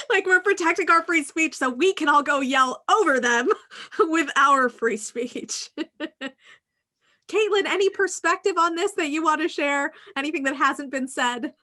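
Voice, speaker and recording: A woman hosting a casual panel conversation, talking in an animated, emphatic way. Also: high voice, a little slow. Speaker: podcast host.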